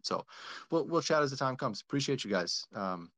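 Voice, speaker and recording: A man's voice: mid-range voice, expressive, moderate pace, fairly bright tone. A man hosting a casual online audio chat, upbeat and chatty, with plenty of fillers and restarts. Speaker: host.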